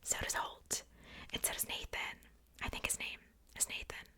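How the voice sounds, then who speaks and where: A woman whispering softly in a casual, chatty ASMR style, rambling along with conversational asides. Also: moderate pace. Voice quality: soft unvoiced whisper. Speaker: ASMR video host showing a doll collection.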